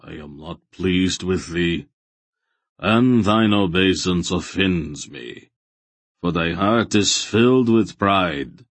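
A man's voice: deep voice, lively intonation, slow pace, dry recording, exaggerated voice. A man voicing a stern, majestic old god in a fantasy audiobook, with solemn, archaic, commanding speech. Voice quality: soft, solemn voice. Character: stern ancient bearded male god, grave and majestic.

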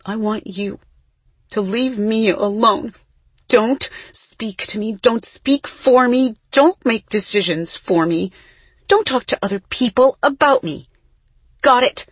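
A woman's voice: fairly low pitch, lively intonation, brisk, hardly any pauses, breathy edge, no echo. A woman voicing a furious, hurt girl in an audiobook, accusing her sister with emphatic force. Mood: cold anger. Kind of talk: audiobook character acting.

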